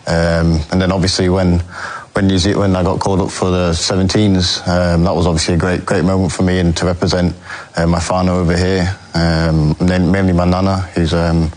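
A young man, answering interview questions in a halting way, full of ums and restarts. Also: low voice, level tone, a little mellow. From England. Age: young adult. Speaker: footballer guest.

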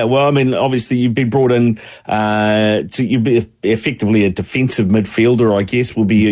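A man's voice: on the low side, a little mellow. A man hosting a casual sports podcast, chatty and matey in a loose, rambling way. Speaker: podcast host.

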